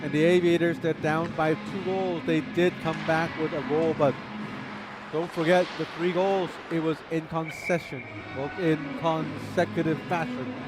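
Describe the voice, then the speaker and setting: A man's voice: fairly high pitch, flat delivery, normal speed, music in the background. A man commentating live ice hockey, a native Chinese speaker, chatty and self-deprecating, laughing between play-by-play calls.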